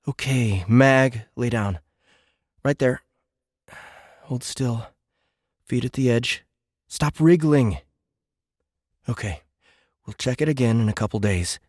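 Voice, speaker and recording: A man's voice: mid-range voice, slow pace, long pauses, a little mellow, dry recording, clean studio sound. A man voicing a weary, protective teenage boy who reassures younger kids in a science fiction audiobook. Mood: half-hearted, kindly.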